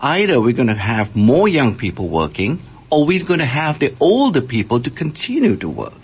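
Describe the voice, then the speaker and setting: An older man from Malaysia with a husky voice at a middling pitch. He speaks as an expert guest in a radio interview, explaining things step by step and sometimes halting as he begins. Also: huge pitch swings. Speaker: scientist and author, interview guest.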